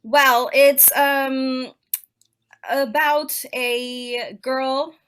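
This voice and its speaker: Young woman from Peru, bright and chatty, filler-heavy casual talk, video call. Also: sits high, fairly even intonation, clean tone. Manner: chatty, many "like" fillers, quick laughs. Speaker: author guest. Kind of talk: casual interview chat.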